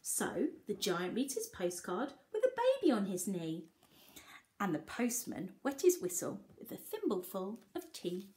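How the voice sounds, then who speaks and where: A woman's voice: medium pitch, sing-song, a little slow, darkish tone. A woman teacher reading a picture book aloud to young children, expressively, with coaxing questions to the listeners. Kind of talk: animated picture-book read-aloud with asides.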